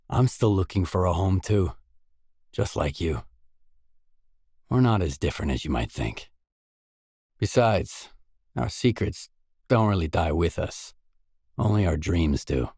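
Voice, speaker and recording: World-weary game stalker, low husky voice, terse, brooding, dry close sound. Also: male voice, darkish tone, dry recording, heavily acted. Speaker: game character. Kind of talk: video game voice acting.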